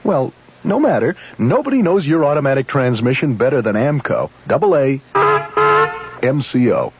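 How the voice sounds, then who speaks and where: A man's voice: medium pitch, big pitch swings, normal speed, slightly rough. A man doing a radio commercial with hearty salesman patter. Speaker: commercial announcer. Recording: dull vintage radio broadcast.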